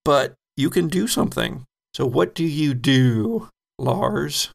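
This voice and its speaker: A man's voice: fairly high pitch, expressive, slow, creaky. A man narrating as game master of a horror roleplaying game, conversational and descriptive as he improvises.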